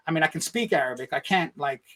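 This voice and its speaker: A man's voice: fairly high pitch, animated, fast, pushed and bright, slight background noise. A man from Egypt telling a personal story in a casual interview, rambling and swearing, with frequent "right?" tags. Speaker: podcast guest.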